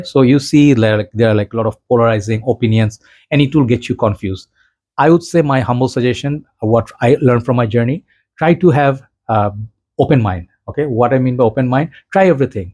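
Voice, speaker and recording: A man's voice: mid-range voice, darkish tone. A man from Bangladesh with a Bangladeshi accent, talking as a fragrance reviewer in an informal, rambling vlog chat addressed to his viewers.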